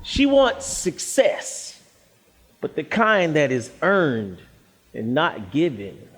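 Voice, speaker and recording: A performer narrating a stage play in deliberate, poetic spoken word over music. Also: medium pitch, wildly swooping pitch, heavily acted. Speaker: narrator and singer. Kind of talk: slow spoken-word stage narration between sung lines.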